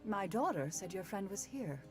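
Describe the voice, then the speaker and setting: A woman's voice: mid-range voice, big pitch swings, a little mellow, soft background music, lightly acted. A woman playing a courteous middle-aged mother in a visual novel, speaking formally and with veiled menace. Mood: probing, veiled menace. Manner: formal, measured, polite. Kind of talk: visual novel character acting.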